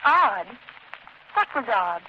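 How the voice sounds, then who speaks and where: A woman's voice: on the high side, very animated, takes it slowly. An actress in a 1930s radio drama playing a polite, refined young lady sleuth with an anxious edge. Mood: polite, probing. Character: poised, earnest young lady amateur sleuth. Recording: muffled 1930s radio recording, faint noise.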